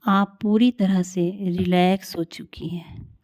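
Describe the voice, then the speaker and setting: A woman leading a guided meditation in a calm, soothing, unhurried way with long pauses. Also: fairly low pitch, no room sound, clean studio sound.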